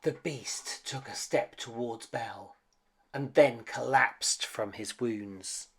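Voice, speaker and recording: A man's voice: mid-range voice, takes it slowly, a bit bright, husky. A man reading a children's picture book aloud as a dramatic storyteller. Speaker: storybook reader.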